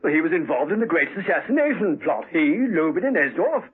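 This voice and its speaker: High-voiced, flustered, officious colonel. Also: male voice, sing-song, fast, husky, full character voice. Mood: defensive. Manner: formal, defensive, hesitant when apologetic. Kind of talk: 1970s radio drama acting. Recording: muffled old radio broadcast recording.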